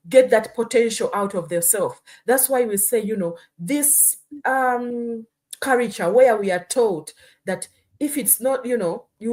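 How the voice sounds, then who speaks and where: A woman's voice: a little high, a little mellow. A woman from Uganda hosting a live stream, talking earnestly and often checking in with "hmm?" and "you know". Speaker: host. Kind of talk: casual live-stream talk.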